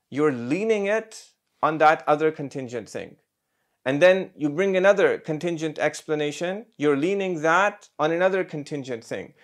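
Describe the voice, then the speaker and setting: A middle-aged man, an Islamic scholar, giving a measured explanatory lecture that builds its points step by step with emphatic pauses. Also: a little high.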